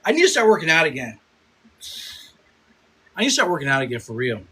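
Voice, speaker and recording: Bright-voiced man in his fifties. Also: on the high side, huge pitch swings, fast, husky. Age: 50s. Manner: rambling, repeats himself, swears and laughs often. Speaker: food content creator streaming live. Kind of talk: casual live-stream chat reading comments. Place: home, Chicago. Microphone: phone.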